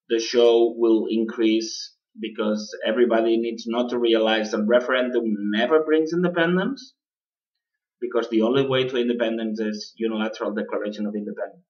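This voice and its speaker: Halting man hosting a radio talk. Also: on the low side. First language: not English. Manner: halting, many uh fillers and restarts. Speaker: radio show host. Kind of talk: political commentary monologue. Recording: distant, dull lo-fi sound.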